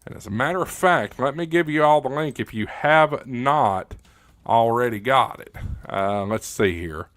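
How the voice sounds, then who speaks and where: A man's voice: mid-range voice, unhurried, a bit bright, no echo. An American man hosting a livestream, chatting casually in an informal, folksy, joking way as he talks to viewers. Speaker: livestream host. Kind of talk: casual livestream chat reading viewer comments.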